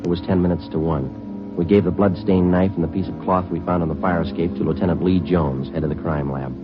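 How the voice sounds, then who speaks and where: An actor playing a terse police detective who narrates a 1950s radio drama in a flat, matter-of-fact way. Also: male voice, deep voice, a little fast, close to an everyday voice. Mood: narrating. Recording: muffled vintage radio broadcast with music.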